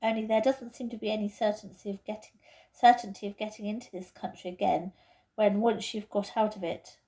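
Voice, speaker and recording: A woman's voice: medium pitch, steady tone, fairly quick, dark tone, close to an everyday voice. A woman voicing a responsible, decisive boy in a storybook, firm and matter-of-fact. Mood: resolute.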